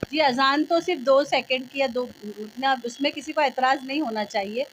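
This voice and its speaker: Female resident in a street interview, very high pitch, Mumbai Hindi accent, plain-spoken, faint background noise. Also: moderate pace, fairly bright tone, low-quality recording. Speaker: local resident being interviewed.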